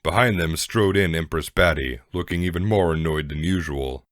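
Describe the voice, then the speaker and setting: A man with an extremely deep voice narrates a story from a script. The recording is dry and close, with little room sound. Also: normal speed, fairly bright tone, no echo.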